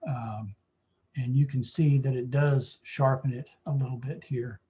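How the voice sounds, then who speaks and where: Hoarse man talking through a tutorial. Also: medium pitch, unhurried. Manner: relaxed, explanatory, with frequent fillers. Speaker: photography club instructor. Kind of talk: screen-share software tutorial.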